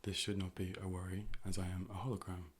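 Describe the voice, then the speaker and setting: A man playing a calm, polite robotic AI optician in an ASMR role play, speaking in a measured, formal, deadpan way. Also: low voice, lightly acted. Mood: calm, clinical. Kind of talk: ASMR medical role play, personal attention.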